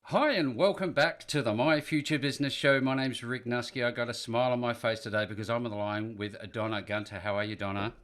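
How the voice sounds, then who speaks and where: A man's voice: mid-range voice, steady tone, fairly quick, few pauses, fairly bright tone. A man hosting a business interview show, upbeat and leaning on fillers between questions. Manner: upbeat presenter, many fillers between points. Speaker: podcast host.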